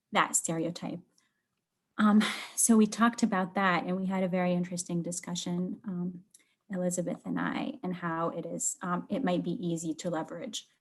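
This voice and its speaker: Flat-voiced woman from Lebanon, video call. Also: lowish voice. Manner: even, flat delivery with frequent fillers. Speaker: workshop participant. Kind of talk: sharing in an online seminar.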